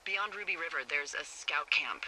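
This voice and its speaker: An actress playing a wry, uneasy American fire lookout in a video game, speaking hesitantly and conversationally through a walkie-talkie filter. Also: female voice, sits low, moderate pace, noisy background. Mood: helpful. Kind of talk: naturalistic video game voice acting.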